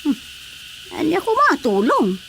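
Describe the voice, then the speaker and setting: An actress playing a gossipy, teasing village council colleague in a radio drama comedy, with a Filipino accent. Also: female voice, high voice, wildly swooping pitch, measured pace, creaky, a bit bright, full character voice. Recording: faint background noise.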